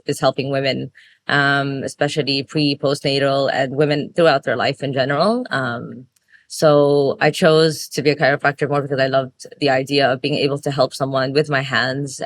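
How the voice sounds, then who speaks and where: A woman's voice: very deep voice, no room sound. A woman in her thirties from the Emirates, a chiropractor speaking earnestly in a casual interview, with frequent fillers and restarts. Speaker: chiropractor guest.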